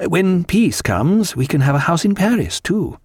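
A man voicing a learned, dry-humoured ship's surgeon and fond husband, with formal, measured period phrasing. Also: high voice, big pitch swings, breathy edge, no room sound, voice pushed up, heavily acted. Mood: reassuring, wry.

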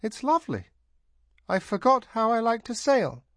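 A man voicing a brisk, scolding mother. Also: very high-pitched, wildly swooping pitch, dry recording, studio quality, pitched above the performer's own voice, full character voice. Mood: coaxing. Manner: scolding, firm. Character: stern brisk middle-aged woman, a mother.

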